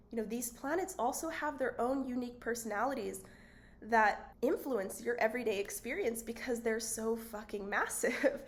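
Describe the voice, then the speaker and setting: A woman hosting her own channel in a casual, chatty vlog, her voice raspy and hoarse, with frequent fillers and little laughs. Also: on the high side. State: hoarse, voice cutting out. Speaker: channel host. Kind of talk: casual spiritual vlog talk.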